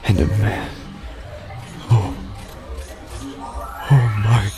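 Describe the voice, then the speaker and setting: A man playing an uneasy, haunted gambler, narrating a first-person horror audio drama in a halting, deliberate, breathy voice that often nears a whisper. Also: fairly low pitch, steady tone, a crowd in the background. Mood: horrified awe. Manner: halting, hesitant, self-repeating, slow and deliberate. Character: uneasy, haunted male gambler telling his nightmare. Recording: continuous music bed under the voice. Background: loud music.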